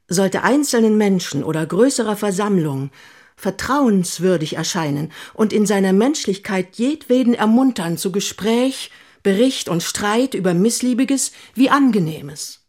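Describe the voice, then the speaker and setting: A woman's voice: medium pitch, darkish tone. A woman playing an earnest, doctrinaire old publishing official in a radio play, lecturing in a stiff, formal manner. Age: older.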